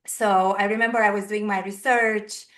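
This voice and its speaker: A woman's voice: mid-range voice, level tone, moderate pace, fairly bright tone. A woman in her thirties from Romania, speaking English with a Romanian accent as a podcast guest, earnestly telling her personal story.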